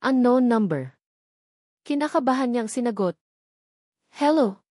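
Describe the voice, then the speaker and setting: Woman, Filipino accent, dramatic story narrator, mid-pitched voice, dry close recording. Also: animated, moderate pace, a little mellow, dry recording.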